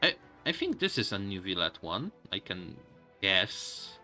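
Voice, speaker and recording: A man's voice: low-pitched, very animated, a little slow, sharp tone. A man giving casual live commentary on a game, musing aloud to himself as he plays, with game music behind him. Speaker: game streamer.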